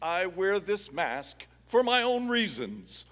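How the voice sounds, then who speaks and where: A man's voice: high-pitched, very animated, slow pace, a bit bright, exaggerated voice. A man playing a noble, urgent masked hero in a live Western radio play, speaking formally and firmly in an echoey hall. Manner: formal, declamatory, earnest. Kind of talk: live Old West radio play acting.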